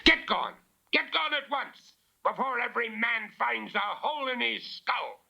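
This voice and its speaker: A commanding, protective innkeeper, performed by a male storyteller, thundering his words. The voice leaps high and forceful, in a dull lo-fi ghost-story recording. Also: measured pace, gravelly, full character voice. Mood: furious. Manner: thundering, bellowing.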